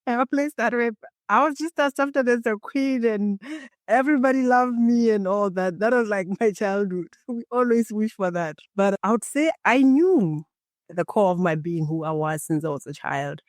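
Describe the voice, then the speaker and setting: A woman from Botswana, a Setswana native speaker, guesting on a podcast and telling her story in a calm, guiding way, as if leading a meditation. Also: mid-range voice, normal speed, dry recording. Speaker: guest, embodiment coach. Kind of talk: podcast interview, reflective storytelling.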